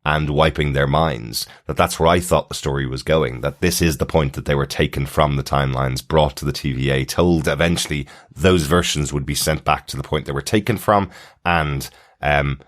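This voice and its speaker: A man's voice: very deep voice, moderate pace. A man hosting a podcast, chatting casually and explaining his take on TV and listener feedback. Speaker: podcast host. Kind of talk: casual TV review chat, reading listener feedback.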